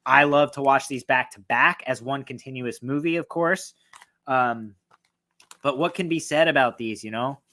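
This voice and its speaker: A man with a voice going hoarse, excitedly and profanely ranking horror films in a rambling, casual way. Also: mid-range voice, normal speed. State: losing his voice, hoarse. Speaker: horror film reviewer. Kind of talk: casual film ranking talk.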